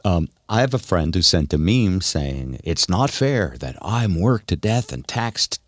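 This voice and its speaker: A man's voice: low-pitched, big pitch swings, a little slow, no room sound, studio quality. A middle-aged man hosting a radio show, talking casually and giving opinions in an explanatory, musing way.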